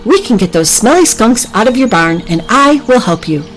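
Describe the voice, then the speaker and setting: A woman's voice: fairly high pitch, animated, normal speed, faint music behind, voice pushed up, natural voice, low-quality recording. A woman voicing a confident, reassuring female secret agent in a children's story.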